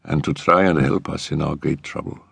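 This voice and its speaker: Man voicing a soft, languorous stately lady. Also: fairly even intonation, normal speed, creaky, slightly rough, dry recording, full character voice. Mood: gracious. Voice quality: low, soft, musical. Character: charming, stately middle-aged lady, languorous and soft-spoken.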